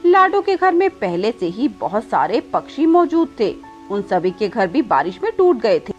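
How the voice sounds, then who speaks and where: Female narrator for children's story: high-pitched, scripted storytelling, music behind. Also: big pitch swings, measured pace, lo-fi sound.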